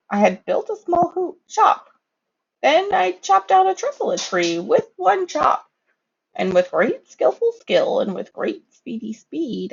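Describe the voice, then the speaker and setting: A woman voicing a secretive old hermit creature from a storybook, telling his past with slow, wistful drama. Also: high-pitched, vocal fry, slightly rough, highs cut off, pitched above the performer's own voice, full character voice.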